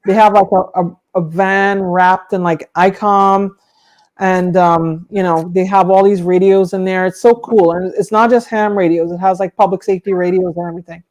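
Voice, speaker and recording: A woman's voice: on the low side, fairly even intonation, moderate pace, a little mellow. A woman co-hosting a livestream, chatting casually about her hobby in an informal, conversational way. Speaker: livestream co-host.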